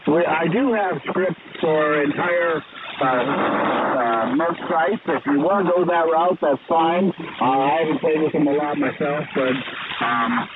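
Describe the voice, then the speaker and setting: A man's voice: fairly high pitch, unhurried. A man calling in over amateur radio, chatting casually in a hesitant, helpful way. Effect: radio transmission. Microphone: radio transceiver. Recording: narrow-band radio link, heavy static noise.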